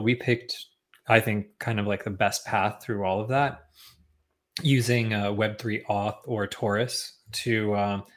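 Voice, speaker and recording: A young man talking as a guest on a podcast, relaxed, with lots of ums and likes and frequent chuckles. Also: fairly low pitch. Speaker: podcast guest, tech founder. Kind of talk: casual interview chat.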